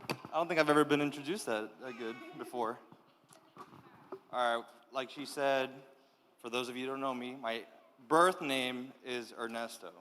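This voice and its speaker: A man's voice: medium pitch, moderate pace, fairly bright tone, slight background noise. Cuban-born man in a reverberant hall. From Cuba. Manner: casual, keeps checking in with "all right?". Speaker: youth leader giving testimony. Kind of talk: personal testimony to a youth group. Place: church youth hall.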